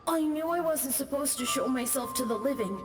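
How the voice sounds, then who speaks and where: A timid little ghost boy, gentle and hesitant, always sounding like he is apologizing. His high voice sits over soft game music. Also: animated, fairly quick. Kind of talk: video game voice acting. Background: game background music.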